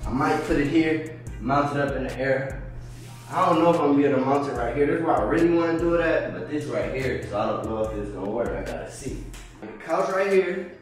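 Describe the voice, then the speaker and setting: A man's voice: medium pitch, lively intonation, fast talker, distant mic. Slangy young American man, echoey room. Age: 20s. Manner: informal, slangy, repeats phrases for emphasis. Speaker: vlogger giving an apartment tour. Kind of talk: casual vlog chat to viewers. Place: small empty apartment indoors. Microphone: handheld camera a few steps away. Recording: echoey empty room, off-mic, music behind.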